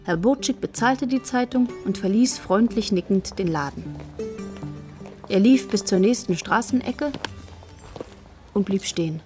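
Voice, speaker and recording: A woman's voice: medium pitch, a little slow, long pauses, over music. A woman narrator reading scripted storytelling passages for a children's radio play.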